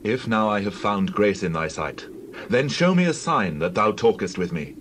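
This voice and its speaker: A man's voice: on the low side, very animated, normal speed, a bit bright, slight background noise. An actor playing a humble, anxious Israelite man pleading earnestly in a scripture drama.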